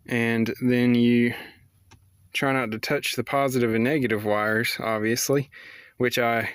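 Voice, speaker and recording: A man's voice: medium pitch, steady tone, slow, long pauses, clear, resonant tone, no room sound. Man, dry close sound, casual how-to. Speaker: vlogger showing a DIY repair.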